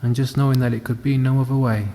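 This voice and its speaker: A man leads a guided body scan meditation, speaking calmly and gently with long, unhurried pauses. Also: medium pitch. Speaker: meditation teacher. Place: indoor room at a wellness centre. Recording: reverberant hall, faint background noise.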